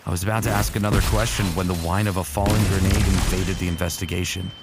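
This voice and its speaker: Gravelly, very deep wry warrior played by a man. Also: steady tone, fast, heavy background noise. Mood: wry, smitten. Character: smitten, teasing male Titan warrior narrating his exploits. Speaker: narrator and lead character. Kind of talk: first-person narration in fan fiction audio drama.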